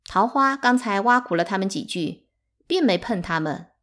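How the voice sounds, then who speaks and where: A woman's voice: medium pitch, wildly swooping pitch, a little slow, a bit bright, exaggerated voice. Storybook rich wife, acted by a woman, domineering, syrupy, insinuating, spiteful, mocking. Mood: falsely soothing.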